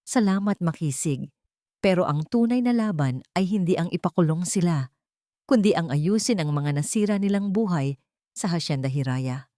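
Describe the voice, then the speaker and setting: Woman as a gentle, wise old grandmother. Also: lowish voice, lively intonation, moderate pace, no echo, clean studio sound, lightly acted. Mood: grateful, solemn.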